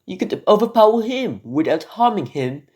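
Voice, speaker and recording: A man's voice: high voice, big pitch swings, moderate pace, darkish tone, voice pushed up. A man voicing a scheming, unhappy lord's wife in an amateur radio drama, with a coaxing, defensive manner.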